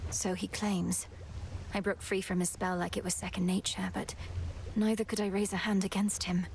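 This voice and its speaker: A woman's voice: mid-range voice, flat delivery, normal speed, darkish tone, slight background noise, close to an everyday voice, rough recording. An actress playing a determined young goddess witch in a fantasy video game, speaking in a serious, precise, formal way. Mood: resolute.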